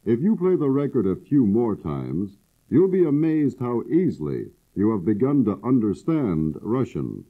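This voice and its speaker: Male promo narrator, persuasive pitchman, tinny vintage cassette sound. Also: sing-song, unhurried. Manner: persuasive, salesman-like. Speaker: course announcer. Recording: husky, phone-like old cassette audio.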